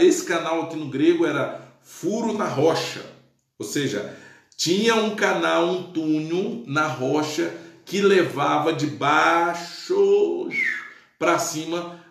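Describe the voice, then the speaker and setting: A man's voice: a little high, creaky, fairly bright tone, roomy sound. A male pastor teaching the Bible in a live stream, exclaiming praise and checking in with his listeners, his Portuguese touched by Spanish. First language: Spanish influence.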